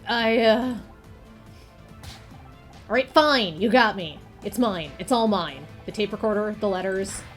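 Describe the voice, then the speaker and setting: A nerdy young man acted out by a woman from a visual novel game, pompous about his obsessions and quick to get defensive. He is nervous and fussy, stammering as he goes, with music playing behind him. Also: medium pitch, close to an everyday voice. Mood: panicked.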